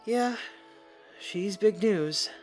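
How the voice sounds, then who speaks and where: A man's voice: high voice, very slow, soft background music. A man acting as a wry, modest young swordsman in an audio drama. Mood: wry, fond.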